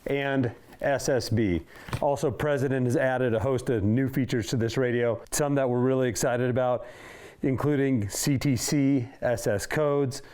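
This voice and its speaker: A man's voice: mid-range voice, fairly even intonation, moderate pace, darkish tone, clean tone. A man giving a casual, hands-on product walkthrough in an informal way, talking as he handles items. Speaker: product reviewer for a radio shop.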